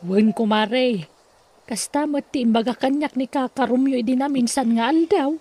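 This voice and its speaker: A chatty woman played by an actress with a very high voice. She swaps family and village news in Ilocano radio drama style. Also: normal speed, warm tone, slightly rough. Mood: curious.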